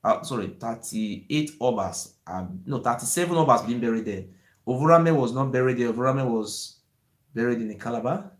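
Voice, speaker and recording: A man, hosting his own channel and speaking in an impassioned monologue that often rises toward shouting. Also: medium pitch, normal speed, reverberant. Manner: excited, rising to near-shouting, repetitive "you see". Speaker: channel host.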